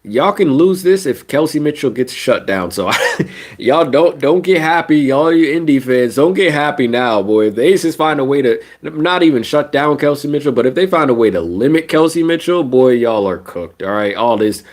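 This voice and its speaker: A man's voice: mid-range voice, normal speed. A young man with a stuffy, nasal voice from a cold, ranting casually about basketball on a live stream. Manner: animated ranting, casual slang. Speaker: sports streamer.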